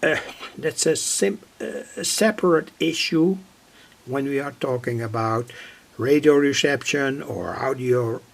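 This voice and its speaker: Slow, creaky-voiced man. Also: medium pitch. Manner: hesitant, deliberate, repeats himself. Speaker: electronics hobbyist presenter. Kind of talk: informal technical demonstration, narrated live.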